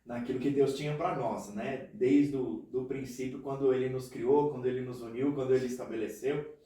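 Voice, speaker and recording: A man's voice: mid-range voice, steady tone, moderate pace, warm tone, heavy reverb, far from the mic. A man from Paraná with a São Paulo city accent, a pastor telling his story in a casual podcast interview, earnest and chatty, tagging phrases with "né". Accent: São Paulo city Portuguese. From Brazil, Paraná state. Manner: earnest, chatty, frequent "né" tags.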